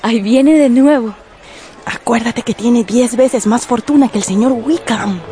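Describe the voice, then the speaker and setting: A woman's voice: fairly high pitch, a little slow, mellow, close to an everyday voice. A woman playing a pert, knowing young sister who teases, in a radio drama. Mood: teasing.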